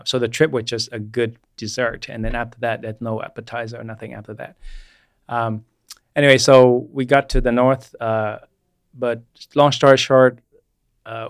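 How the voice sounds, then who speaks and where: A man in his forties, born in Vietnam, with Vietnamese as his first language, recounting his life story at an easy, unhurried pace with plenty of "uh"s and restarts. His voice sits at a middle pitch for a man. The recording is dry and close, with no room echo. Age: 40s. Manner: unhurried, with frequent fillers and restarts. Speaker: guest chiropractor. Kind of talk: personal storytelling in an interview.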